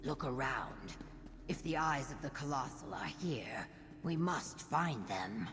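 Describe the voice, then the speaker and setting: An actress voicing a solemn, guarded reptilian guide in a fantasy video game, speaking in measured, terse, raspy phrases. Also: female voice, extremely low pitch, expressive, a bit bright, full character voice. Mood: grave, watchful. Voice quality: raspy, breathy. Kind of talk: scripted video game acting. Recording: long cavernous reverb on voice.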